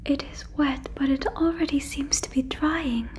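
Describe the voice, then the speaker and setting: A woman playing a gentle, curious, naive female alien in an ASMR roleplay, in a breathy whisper with stilted, formal, careful phrasing, close on the microphone. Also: high-pitched, steady tone, normal speed, a little hiss or hum, lightly acted. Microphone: close fluffy microphone.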